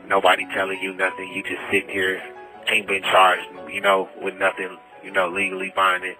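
A man's voice: low-pitched, completely flat delivery, fairly quick, bright, forceful tone. An American man making an emphatic, repetitive, impassioned plea over a prison phone line. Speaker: prisoner telling his story. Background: music.

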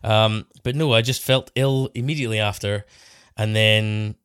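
A man's voice: fairly low pitch, normal speed, smooth, dry recording, studio quality. A man from England co-hosting a podcast, telling stories with wry humour and frequent laughs. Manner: animated storyteller, laughs often, wry. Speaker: co-host. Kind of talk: casual podcast chat.

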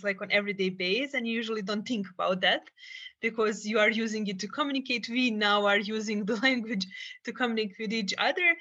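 A woman's voice: a little high, a bit bright. Woman, slightly muffled audio. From Bosnia and Herzegovina. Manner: thoughtful, searching for words, frequent restarts. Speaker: guest, psychologist and peace builder. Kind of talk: podcast interview, remote. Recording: dull lo-fi sound.

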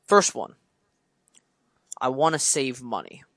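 A chatty man in his mid twenties co-hosting a podcast, rambling on with restarts and easy laughs. His voice sits at an ordinary middle pitch. The recording is dry and close, with no room sound. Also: big pitch swings, slow, fairly bright tone, no room sound. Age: 20s. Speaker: podcast co-host. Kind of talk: casual chat.

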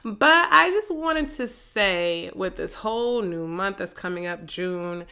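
A woman hosting a casual solo podcast from her living room, raspy and congested from a head cold, sassy and slangy with frequent laughs. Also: medium pitch, animated, slow, bright, forceful tone. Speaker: podcast host.

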